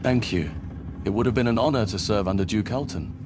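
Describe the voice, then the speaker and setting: Man playing a courteous, low-voiced paladin. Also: brisk, noisy background, close to an everyday voice. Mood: calm, courteous. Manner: polite, composed. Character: courteous, steadfast male paladin adventurer. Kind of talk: video game dialogue. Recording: game music behind.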